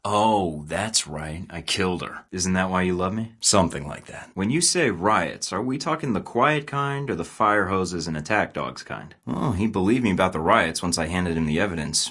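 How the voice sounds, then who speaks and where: A man's voice: sits low, fairly bright tone, breathy edge. An actor playing a confident, sarcastic male secret agent in a video game, delivering dry quips. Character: wisecracking male American spy, confident and sarcastic.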